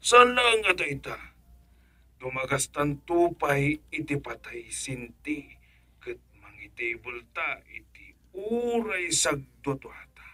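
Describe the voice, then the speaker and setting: A man's voice: on the high side, sing-song, slow, sharp tone, no room sound, exaggerated voice. A man acting a money-obsessed, scheming village husband in an Ilocano radio comedy drama, boastful and cajoling, with an Ilocano Filipino accent. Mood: sly, cajoling.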